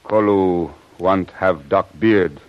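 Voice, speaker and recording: An actor playing a loyal, solemn guide who speaks halting broken English in old radio drama style. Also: male voice, sits low. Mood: solemn, eager. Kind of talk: 1940s radio acting. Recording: dull old radio recording.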